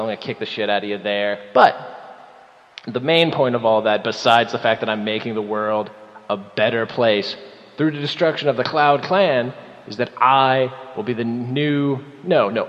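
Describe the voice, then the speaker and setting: A man's voice: mid-range voice, close to an everyday voice. Man acting cocky wrestling villain in echoey hall. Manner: mocking, menacing, halting with repeated words. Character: cocky, vengeful, sarcastic male pro wrestler cutting a villain promo. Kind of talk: rambling wrestling promo with profanity. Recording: dull lo-fi audio in echoey hall.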